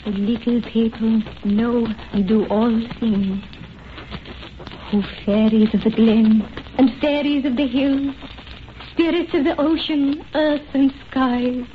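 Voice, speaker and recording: A woman's voice: a little high, fairly even intonation, slow. An actress playing a devoted, yearning young Irish woman, earnest and melodramatic, in an old-time radio horror play. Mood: pleading. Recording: dull old radio recording, faint noise.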